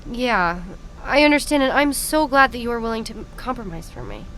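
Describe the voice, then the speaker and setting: Woman playing a pleading, high-voiced young daughter. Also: animated, normal speed, a bit bright, poor audio. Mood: placating. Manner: placating, emotional. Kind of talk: audio drama acting.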